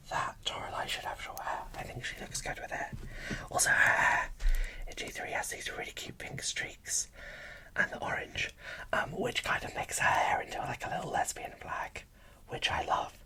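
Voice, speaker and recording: A host speaking in a breathy whisper into a close microphone, giving casual, enthusiastic ASMR unboxing commentary. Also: normal speed, pushed and bright.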